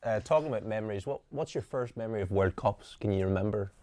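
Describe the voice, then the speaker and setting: Young guy asking podcast questions, soft dark tone, lowish voice, pretty relaxed. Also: fast, dry recording. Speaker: student podcast interviewer. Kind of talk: casual interview questioning.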